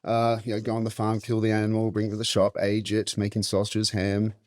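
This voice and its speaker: Low-voiced New Zealand man, close dry sound. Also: monotone, brisk, darkish tone, no room sound. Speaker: interview guest, meat specialist and butcher. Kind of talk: casual conversational storytelling.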